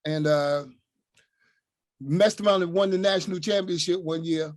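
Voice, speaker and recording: A man's voice: high-pitched, normal speed, no room sound. Older man, easygoing storyteller, dry sound. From Newark, New Jersey. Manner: easygoing, leans on "you know what I'm saying". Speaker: retired coach, guest. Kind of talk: relaxed storytelling.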